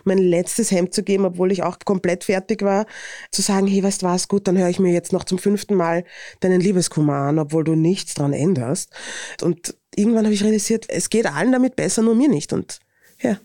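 A woman's voice: lowish voice, fairly quick, no echo, clean studio sound. Frank, laughing Viennese woman. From Vienna, Austria. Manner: lively, frank, laughs often. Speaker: author and interviewee. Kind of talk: candid interview answers.

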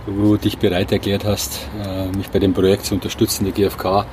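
Male host, friendly, low voice, faint outdoor noise. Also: monotone, brisk. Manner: friendly, chatty. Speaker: podcast host, interviewer. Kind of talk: podcast intro and interview. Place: rooftop terrace in a city, outdoors. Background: faint traffic noise.

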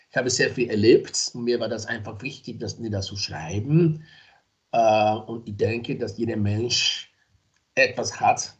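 A middle-aged man from Nigeria, a podcast guest talking casually and hesitantly, with many fillers and restarts. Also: fairly low pitch. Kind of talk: casual interview talk.

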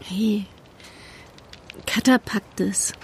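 A woman's voice: medium pitch, extremely slow, a little mellow, slight background noise. A woman playing a young undercover activist in a naturalistic audio drama, speaking in a colloquial, guarded and hesitant way. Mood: concerned, subdued.

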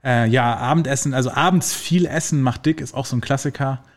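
A man explaining health myths in a casual podcast conversation, relaxed and full of fillers, using everyday examples. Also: mid-range voice, brisk.

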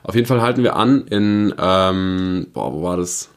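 A young man with a lowish voice co-hosts a podcast, talking loosely. He rambles through a travel story with plenty of fillers and restarts. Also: moderate pace. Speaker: podcast co-host. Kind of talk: casual chat and storytelling.

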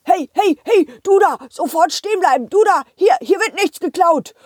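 Woman playing a shrill, panicky museum guard. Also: animated, moderate pace, dry recording, studio quality. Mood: panicked. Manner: stammers and repeats in alarm. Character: panicky, flustered museum guard chasing a thief.

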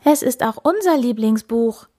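A woman's voice: fairly high pitch, lively intonation, moderate pace, warm tone, pitched above the performer's own voice. A woman voicing an eager young male hare in a children's story, with a keen, adventurous manner. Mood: hopeful.

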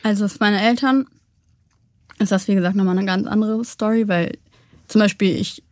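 A young German woman answering interview questions in a considered, measured way. She often pauses and restarts as she works out her thoughts. Her voice sits at a middle pitch and the recording is dry and close. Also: steady tone, a little mellow, dry recording. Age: young adult. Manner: thoughtful, measured, frequent hesitations. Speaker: interview guest. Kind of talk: reflective interview talk.

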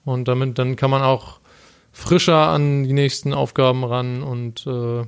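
A young man with an average-pitched voice co-hosts a laid-back chat. He speaks reflectively and slips in dry jokes. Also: unhurried, creaky, clean tone. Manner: relaxed, reflective, dry humor. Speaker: podcast co-host. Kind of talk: casual chat.

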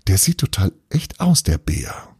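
A man's voice: low-pitched, wildly swooping pitch, unhurried, darkish tone, slightly rough, dry recording, studio quality, exaggerated voice. A man voicing a timid, frightened boy in a children's story, with an anxious, faltering delivery. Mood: awed. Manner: anxious, stammering.

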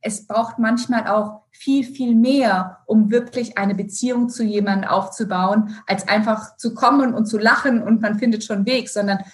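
A woman's voice: fairly high pitch, steady tone, normal speed, few pauses. A woman from Brazil, a finance executive, answering interview questions in a thoughtful way, with many fillers and restarts and some English words mixed in.

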